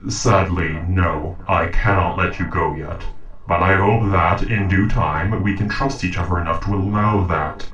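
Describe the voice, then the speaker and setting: A man playing a calm, logical sentient robot in an audio roleplay, speaking in formal, measured, precise sentences. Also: low-pitched, echoey room, far from the mic, muffled recording. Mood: calm, reassuring. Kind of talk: dramatic audio roleplay acting.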